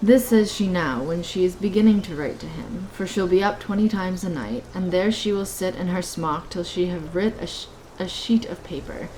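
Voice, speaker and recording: A woman's voice: on the low side, moderate pace, few pauses, darkish tone, a little hiss or hum, close to an everyday voice, rough recording. A woman acting an elderly, solemn nobleman and gossiping father in an amateur Shakespearean radio play. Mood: earnest gossiping.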